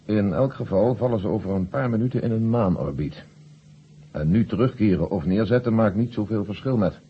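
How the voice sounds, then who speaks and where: An actor playing a calm, authoritative general in charge of a moon mission in a 1970 radio drama. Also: male voice, fairly low pitch, fairly quick, lightly acted. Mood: measured. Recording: noisy, dull old radio recording.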